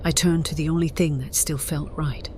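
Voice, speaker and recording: A woman playing a centuries-old, conflicted vampire, narrating gravely in a measured, theatrical way. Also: low-pitched, steady tone, a little mellow, a little hiss or hum, natural voice. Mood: brooding. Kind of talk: dramatic scripted narration. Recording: dramatic music behind the voice.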